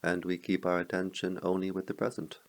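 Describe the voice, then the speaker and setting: Very deep, gravelly man guiding meditation. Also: completely flat delivery. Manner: slow, measured phrases with long pauses. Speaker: meditation teacher. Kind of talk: guided meditation.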